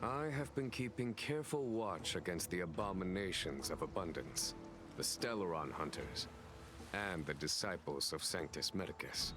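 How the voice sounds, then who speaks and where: An actor playing a calm, burdened general of a fantasy realm in a video game, speaking in a measured, formal way. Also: male voice, low voice, music in the background. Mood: composed.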